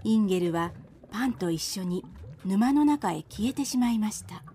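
A woman's voice: mid-range voice, lively intonation, moderate pace, noisy background. A woman narrator reading a scripted storybook tale for a radio drama.

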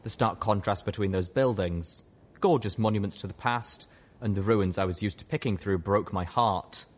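Low-voiced man narrating a story. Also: normal speed. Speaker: audiobook reader. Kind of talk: scripted dramatic story narration.

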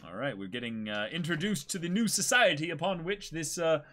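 A man's voice: a little high, expressive, fairly quick, pushed and bright. A young man chatting casually as he co-hosts a dramatic reading of a comic. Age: young adult. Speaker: co-host, reader.

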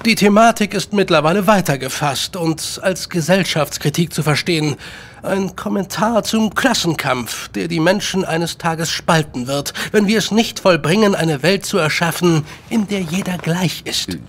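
Talkative victorian writer gent, highish voice, very formal and eager, audio drama. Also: male voice, moderate pace, fairly bright tone, slight background noise. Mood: chatty. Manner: wordy, genteel, formal. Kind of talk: German radio drama acting.

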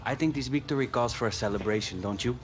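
An actor playing an upbeat, friendly footballer in a video game cutscene. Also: male voice, mid-range voice, fast, music in the background, natural voice. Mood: pleased, friendly.

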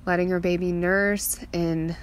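A woman's voice: lowish voice, flat delivery, a little slow. A young adult woman narrating a personal vlog in a heartfelt, earnest way. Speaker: farm vlogger and mother. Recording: clearly noisy outdoor ambience.

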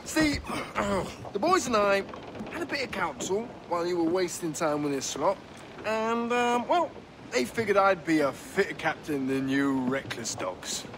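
A man's voice: high-pitched, lively intonation, measured pace. A man playing a smug, treacherous pirate mutineer in a video game, theatrical and mock-courteous with a drawl. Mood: smug, gloating. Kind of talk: dramatic video game acting. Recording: outdoor ship ambience noise.

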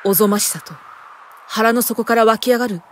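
A woman performing a first-person horror story narration in a theatrical, intense manner, moving between hushed and emphatic delivery. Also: a little high, brisk, a little hiss or hum.

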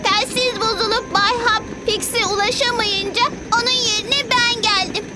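A cheerful young girl train from a children's cartoon. She is caring and reassuring in a very high voice, over strong background music. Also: steady tone, unhurried. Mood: helpful, reassuring.

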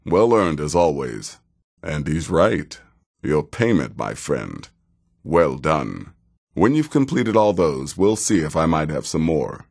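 A cynical male assassin from a fantasy video game, speaks in a very deep voice. He takes his time, deliberate and dry. His deadpan delivery still swings widely in pitch, giving the sardonic wit a lively contour. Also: creaky, heavily acted. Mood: measured, businesslike. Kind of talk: video game character dialogue.

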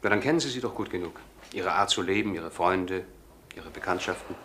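A man's voice: sits low, a little fast, fairly bright tone, slight background noise. An actor playing a businesslike male police detective, matter-of-fact and probing, in a German radio crime drama.

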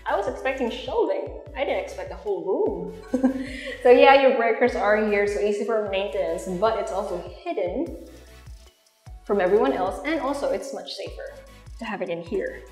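A woman's voice: mid-range voice, lively intonation, moderate pace, a little mellow. A young woman with a Filipino accent presenting a house tour in a casual, conversational way, walking through large empty rooms. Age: young adult. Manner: chatty, conversational. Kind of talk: casual real estate walkthrough presentation. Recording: long reverb of an empty room. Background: music.

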